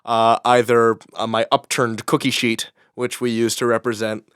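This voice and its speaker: A man's voice: medium pitch, flat delivery, moderate pace, a bit bright, clear, resonant tone, dry recording, studio quality. A man who works as a Foley artist, telling stories in a playful, jokey way in a casual group interview. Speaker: live Foley artist for an audio drama.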